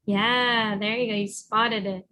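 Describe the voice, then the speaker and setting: A woman reading a storybook aloud as a teacher, encouraging and pausing to guide with questions. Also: medium pitch, steady tone, pushed and bright, slight background noise. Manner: encouraging, instructive.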